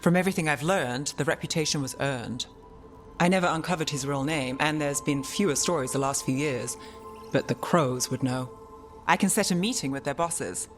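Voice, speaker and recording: Actor as wry, higher-voiced male investigator. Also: normal speed, a bit bright, faint music behind, lightly acted. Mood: confident, wry. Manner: wry, confident, matter-of-fact. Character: cool, dry-witted investigator companion in fantasy game. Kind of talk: video game voice acting.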